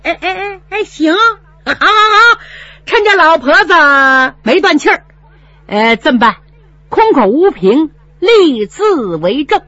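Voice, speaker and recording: A woman's voice: high-pitched, big pitch swings, takes it slowly, bright, forceful tone, dry recording, muffled recording, voice pushed up, exaggerated voice. A woman voicing a sneering, bullying male steward come to collect a debt in a spoken story. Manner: mocking, jeering.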